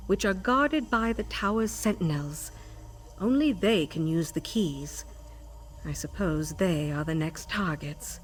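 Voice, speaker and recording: Stern, haughty elven sage played by an actress. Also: female voice, mid-range voice, close to an everyday voice. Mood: grudging, businesslike. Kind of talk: video game character dialogue.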